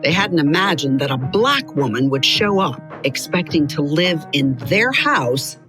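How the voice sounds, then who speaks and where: Female narrator for kids' biography audio: deep register, highly varied intonation, expressive storytelling read over soft music. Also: a little slow.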